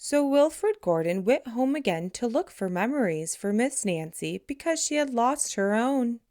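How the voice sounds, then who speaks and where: A woman reading a picture book aloud to children in a deliberate, expressive storytelling manner. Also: a little high.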